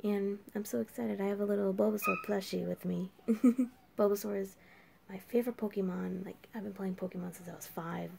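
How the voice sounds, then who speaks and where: A woman's voice: medium pitch, fairly even intonation, a little fast, gravelly, a little mellow. An eighteen-year-old woman with a scratchy, sore throat, chatting casually as a reaction video host from a small room late at night. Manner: puzzled, exclaiming questions. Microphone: webcam at desk.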